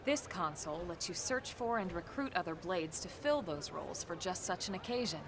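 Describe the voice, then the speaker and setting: An actress playing a composed, level-headed female soldier and mentor in a video game, measured, matter-of-fact and gently reproving. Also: fairly low pitch, lively intonation, noisy background. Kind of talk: video game cutscene acting.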